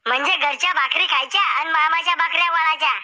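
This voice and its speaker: A woman's voice: extremely high pitch, fast, heavy background noise. A woman voicing a cheeky, idle young grandson in a cartoon, bantering in a rustic way. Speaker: game show contestant. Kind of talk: cartoon comedy acting.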